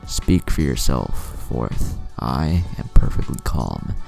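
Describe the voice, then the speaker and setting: A man voicing a calm, menacing masked villain in a story, taunting and coolly confident. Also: extremely low pitch, slow, vocal fry, soft background music, voice pushed down, full character voice. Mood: calm menace.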